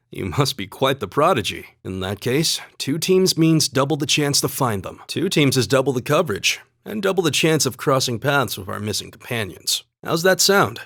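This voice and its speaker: Young plains warrior, courteous and earnest, lively pitch swings, dry studio sound, video game dialogue. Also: male voice, no echo. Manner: earnest, measured, polite. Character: calm, courteous young male spear-wielding fighter from the plains. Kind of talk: scripted game dialogue and battle barks.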